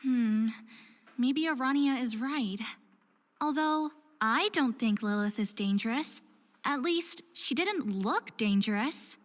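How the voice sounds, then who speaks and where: A woman's voice: sits high, expressive, slow pace, in a large hall. A woman playing an earnest, stubborn and curious young woman of faerie descent in a visual novel game. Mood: musing. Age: young adult. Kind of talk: visual novel voice acting with inner monologue.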